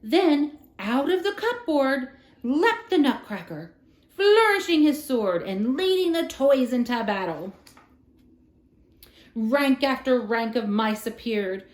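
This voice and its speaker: A woman reading a children's story aloud as a storyteller, in an expressive, dramatic style. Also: high voice, slow pace. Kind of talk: scripted children's storybook narration. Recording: roomy, echoing room sound.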